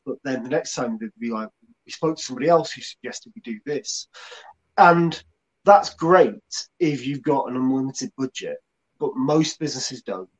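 A man's voice: on the high side, dull audio. A man co-hosting a casual chat, somewhat hesitant, repeating words as he thinks aloud. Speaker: co-host, agency colleague.

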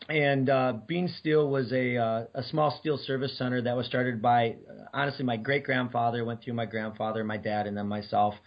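A middle-aged man from Detroit, hoarse and scratchy from a cold, answering interview questions with many fillers. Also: medium pitch, moderate pace, few pauses. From Detroit, Michigan. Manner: relaxed, many uh fillers and restarts. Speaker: guest business owner. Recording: dull lo-fi remote audio.

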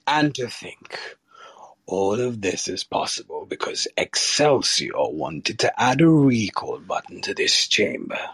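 A man playing a sinister, gloating villain lord in an audio drama, with slow, dramatic, menacing delivery. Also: on the low side, sing-song, a bit bright, breathy edge, no echo, full character voice. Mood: gloating, assured.

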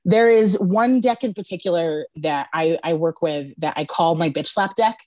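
A woman guest who reads tarot, talking frankly over a video call, laughing often and filling pauses with "um" and "like". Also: on the low side, a little mellow, no room sound. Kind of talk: casual chat.

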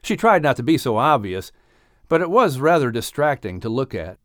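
A man narrating a children's audiobook in an expressive scripted storytelling style. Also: medium pitch, moderate pace, no room sound, studio quality.

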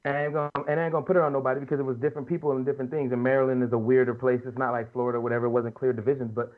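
A man's voice: mid-range voice, level tone, rapid-fire. A man in his late thirties talking casually and earnestly as a podcast panelist, making his points emphatically in colloquial speech. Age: late 30s. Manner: earnest, emphatic, colloquial, repeats and rephrases as he argues. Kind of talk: casual opinionated panel talk. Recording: muffled lo-fi audio.

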